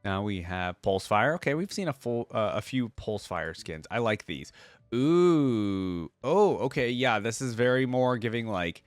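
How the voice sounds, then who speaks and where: A man's voice: medium pitch, lively intonation, measured pace, no room sound. Casual man reacting, very dry close sound. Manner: informal, thinking aloud. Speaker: YouTube reaction host. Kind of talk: casual reaction commentary on game skins.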